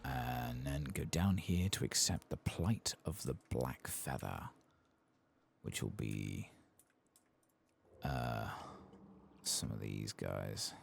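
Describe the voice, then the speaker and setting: A man softly murmuring casual ASMR commentary over a video game, close to the microphone. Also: extremely low pitch, slow, vocal fry, long pauses, a little hiss or hum. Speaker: gameplay streamer.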